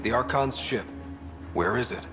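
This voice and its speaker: Young expedition leader for a sci-fi game male actor: mid-range, calm, wry, reverberant, faint score underneath. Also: medium pitch, measured pace, music in the background, natural voice. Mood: dry, probing. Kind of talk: video game acting. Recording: long reverberant hall echo.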